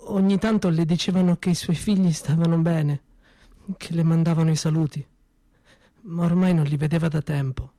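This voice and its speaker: A man performs a spoken theatre monologue, pausing for weight between phrases. His voice sits a bit high for a man, yet the tone stays soft and mellow rather than bright. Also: fairly even intonation, no room sound. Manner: measured, grave storytelling with dramatic pauses. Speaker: storyteller.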